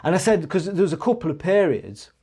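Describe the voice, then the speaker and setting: A man's voice: high-pitched, sing-song, fast, dark tone, breathy edge. A man telling a personal story to camera in a rambling, hesitant way, with many restarts, and earnest frustration when he recounts his grievances. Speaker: vlogger.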